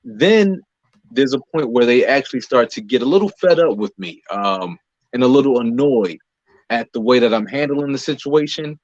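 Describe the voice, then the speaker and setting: An American man answering interview questions conversationally as a guest, explaining in a measured way with pauses between phrases. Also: medium pitch, lively intonation, darkish tone, no echo, lo-fi sound. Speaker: playwright, director and actor interviewed as guest.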